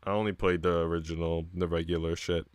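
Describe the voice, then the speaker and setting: Low-voiced male streamer chatting casually. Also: level tone, quick pace, clear, resonant tone, dry recording. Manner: slangy, profane, bro-ish talk. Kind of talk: casual livestream chat. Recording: music behind the voice.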